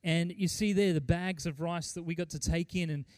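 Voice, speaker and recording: A middle-aged man preaching as a pastor, speaking earnestly and emphatically, with deliberate pauses and repeated phrases. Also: a little high, fairly even intonation, very noisy. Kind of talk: sermon with mission report and scripture reading.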